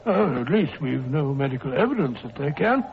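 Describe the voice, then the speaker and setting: Flustered nervous doctor. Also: male voice, mid-range voice, big pitch swings, a little fast. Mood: grumbling. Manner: flustered, stammering. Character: nervous, grumbling English doctor companion. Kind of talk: 1940s radio acting. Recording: tinny old radio recording with hiss.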